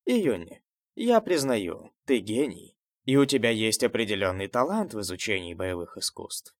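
Guy doing a proud young tribe leader for an audiobook, dignified speechy tone, lots of pitch movement, kinda fake generous. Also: very animated, normal speed, dry recording. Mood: condescending. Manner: dignified, declamatory. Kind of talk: audiobook character voice.

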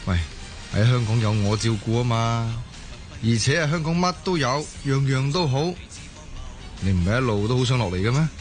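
Guy acting patient cantonese husband in a radio drama, reassuring, medium pitch, soft music behind. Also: lively intonation, normal speed, lightly acted. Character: patient, reassuring working-class Hong Kong husband. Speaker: voice actor in an audio drama.

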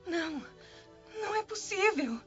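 Anguished, very high-pitched young woman. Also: big pitch swings, measured pace, full character voice. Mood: anguished pleading. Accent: Brazilian. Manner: anguished, pleading. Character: guilt-ridden, distraught young woman begging forgiveness. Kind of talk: melodramatic radio play acting. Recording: dull lo-fi audio with music.

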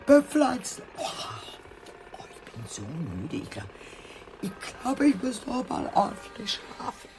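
An actress playing a scatterbrained, effusive older aunt in a German radio drama, halting and repeating herself with dramatic sighs. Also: female voice, medium pitch, wildly swooping pitch, a little mellow, faint music behind, rough recording. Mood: weary.

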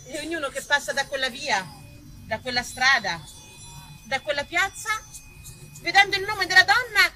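A woman from Tuscany performing a theatrical monologue as an actress and storyteller, expressive and emphatic, dwelling on single words. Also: sits high, moderate pace, long pauses, soft background music, rough recording. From Tuscany, Italy. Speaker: stage actress, writer and storyteller.

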